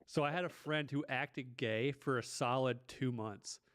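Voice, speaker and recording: A man's voice: mid-range voice, a little slow, a bit bright. A man in his thirties hosting a podcast, teasing his guest and telling casual stories with some swearing. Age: 30s. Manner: ribbing, anecdotal, casual swearing. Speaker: podcast host.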